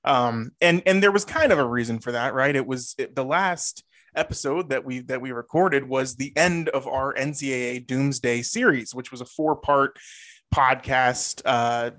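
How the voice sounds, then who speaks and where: Chatty man, mid-pitched podcast co-host. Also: normal speed, a bit bright. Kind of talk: casual sports podcast chat.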